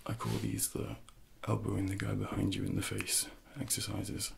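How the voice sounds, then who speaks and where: A man in his thirties speaking in a soft, breathy near-whisper, gently and slowly coaching a workout as an ASMR personal trainer. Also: on the low side, monotone. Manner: slow, gentle, hushed coaching.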